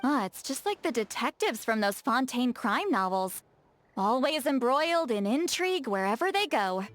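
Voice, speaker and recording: A woman voice acting a touchy, lazy, bookish young-seeming shaman in a video game, expressive and defensive, with hesitant asides and self-corrections. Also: a little high, normal speed, no room sound. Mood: languid, philosophical. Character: touchy, lazy, bookish female shaman, youthful but secretly very old.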